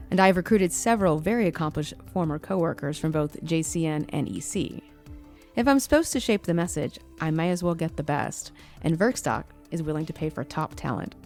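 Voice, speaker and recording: A woman's voice: lowish voice, darkish tone. A woman playing a wry, confident space company executive in her fifties, chatting in an audio drama log entry, with music underneath. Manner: chatty, wry, dryly teasing.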